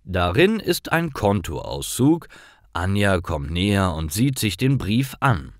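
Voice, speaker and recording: A man narrates simple stories for language learners with a lowish voice. His delivery is slow and careful, with animated intonation. The recording is dry. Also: no room sound.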